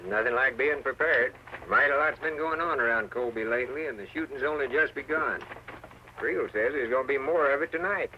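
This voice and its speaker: An actor playing a scornful, scheming crooked deputy in an old radio western, brusque and sneering. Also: male voice, medium pitch, brisk, sharp tone, close to an everyday voice. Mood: cocky. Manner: brusque, drawn to threats and sneers. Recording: faintly noisy old radio recording.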